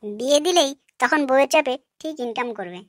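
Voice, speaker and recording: A woman voicing a sharp-tongued, nagging village wife and mother in a comic cartoon. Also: high-pitched, expressive, fairly quick, dry recording, clean studio sound. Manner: snappy, nagging.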